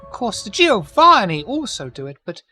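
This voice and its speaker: A woman's voice: a little high, very animated, moderate pace, sharp tone, soft background music, pitched above the performer's own voice, full character voice. A woman voicing an old, genteel vampire grandmother who gushes and talks sweetly down to people.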